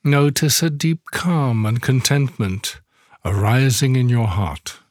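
A man leading a guided yoga nidra meditation, giving soothing instructions slowly with long pauses. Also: mid-range voice, darkish tone, dry recording.

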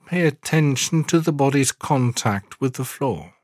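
Male meditation narrator, unhurried and calm, mid-range voice, soothing scripted guidance with generous pauses. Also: medium pitch, no echo, studio quality. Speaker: meditation guide, podcast host. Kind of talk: guided yoga nidra relaxation meditation, scripted narration.

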